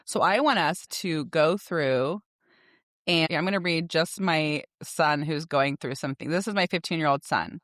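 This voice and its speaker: A woman's voice: low voice, moderate pace, a bit bright, no echo, clean studio sound. A middle-aged woman co-hosting a podcast, talking casually and conversationally about everyday life. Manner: conversational, often acts out dialogue from anecdotes. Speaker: podcast co-host. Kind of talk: casual personal chat with anecdotes.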